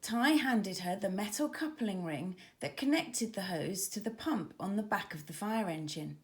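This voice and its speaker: A woman children's author reading her storybook aloud with expressive, dramatic emphasis for young listeners. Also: mid-range voice, normal speed.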